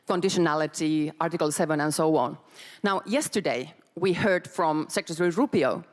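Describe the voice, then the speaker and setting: A woman from Finland, putting a firm, pointed question to a panel from the audience. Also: on the low side. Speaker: audience member asking a question. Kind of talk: conference question from the floor. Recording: long reverb of a large hall.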